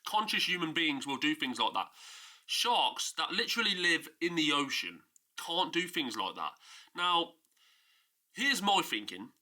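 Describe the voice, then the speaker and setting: A man's voice: a little high, sing-song, a little slow, sharp tone. A man with a stuffy nose from a cold, casually and emphatically ranting about a film in a vlog. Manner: exasperated, emphatic, mocking. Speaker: film review YouTuber.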